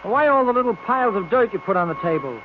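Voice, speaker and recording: An American actor playing an excitable, puzzled police sidekick in an old radio serial, talking in a blunt, folksy way. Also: male voice, high voice, fast. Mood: skeptical, curious. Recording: noisy, tinny old radio recording.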